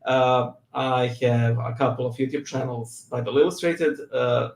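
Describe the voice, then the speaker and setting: A man's voice: medium pitch, fairly even intonation, unhurried, vocal fry. Serbian man, laughing, echoey room. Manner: laughs often, self-deprecating humor, hesitant fillers. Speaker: guest, church reader and YouTube creator. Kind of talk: casual interview.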